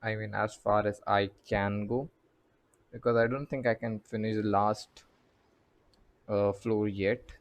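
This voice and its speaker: A man streaming a video game, murmuring casual commentary as he haltingly thinks aloud. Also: lowish voice, flat delivery. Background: faint game music.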